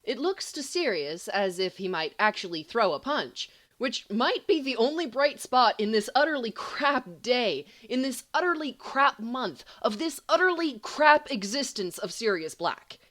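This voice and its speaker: A woman reading scripted fiction aloud as an expressive, dramatic storyteller. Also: on the high side, a little slow, few pauses. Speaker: audiobook reader.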